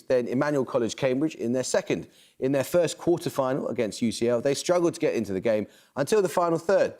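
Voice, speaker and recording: A man presents a university quiz from a television studio, crisp and measured in delivery. His voice sits at an ordinary pitch but swings lively up and down as he reads questions and team summaries. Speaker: quiz show host.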